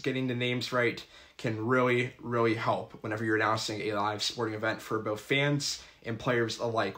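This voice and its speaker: A man's voice: mid-range voice, a bit bright. A young man, a college student and sports announcer, giving earnest, methodical advice in a scripted vlog. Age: young adult. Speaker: public address announcer.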